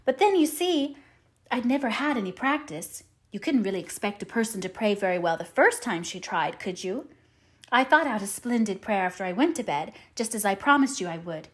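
A woman's voice: mid-range voice, lively intonation, fairly quick, close to an everyday voice. A woman voicing an eager, dreamy orphan girl who talks earnestly and effusively, as in an audiobook. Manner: effusive, earnest, dramatic phrasing. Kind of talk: audiobook character voice.

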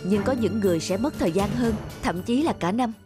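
A conscientious hospital doctor from a cartoon drama, voiced by a woman. The mid-pitched voice gives reassuring, careful advice. Music is clearly audible behind it. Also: animated, close to an everyday voice. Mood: advising, reassuring. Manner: explanatory, measured advice-giving. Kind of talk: animated moral story dubbing.

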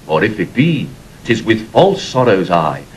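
An animated, earnest courtier. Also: male voice, lowish voice, slight background noise. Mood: consoling. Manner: measured, declamatory. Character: courtly, earnest male attendant consoling his queen. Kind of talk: classical Shakespearean radio acting.

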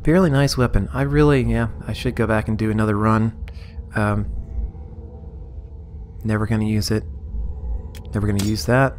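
Low-voiced man rambling over gameplay. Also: steady tone, normal speed, pauses often, darkish tone, slight background noise. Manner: rambling, self-amused, sorting inventory aloud. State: slightly hoarse. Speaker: let's play host. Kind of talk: casual gameplay commentary.

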